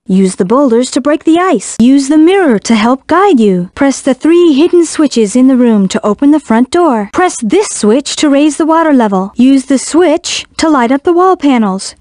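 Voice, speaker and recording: An actress playing a gentle young female spirit guide in a video game, giving scripted hints in a measured, earnest, instructive way. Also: sits high, no room sound, clean studio sound. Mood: calm, instructive. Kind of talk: scripted game hint narration.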